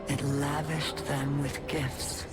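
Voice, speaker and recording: Otherworldly entity speaking through a human host: solemn, unhurried, portentous game narration over loud music. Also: female voice, low-pitched, level tone. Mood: grave, reverent. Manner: slow, measured, portentous. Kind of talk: dramatic game cutscene narration.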